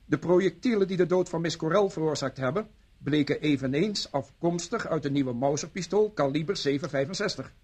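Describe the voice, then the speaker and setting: Husky, pedantic expert. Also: male voice, a little high, brisk, darkish tone. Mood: formal, precise. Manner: precise, stilted. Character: pedantic, formal male ballistics expert. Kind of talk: radio play acting.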